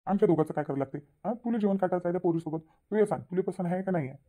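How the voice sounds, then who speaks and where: A man voicing a middle-aged village father in a cartoon, quick and plain-spoken with a lilt. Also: high-pitched, dark tone. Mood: matter-of-fact. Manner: rapid, plain-spoken, repeats himself. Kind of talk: cartoon voice acting. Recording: tinny phone-like sound.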